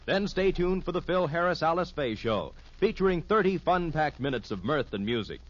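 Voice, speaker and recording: Animated male vintage network announcer. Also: on the high side, normal speed. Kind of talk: 1950s radio promotional announcing. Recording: dull old radio recording.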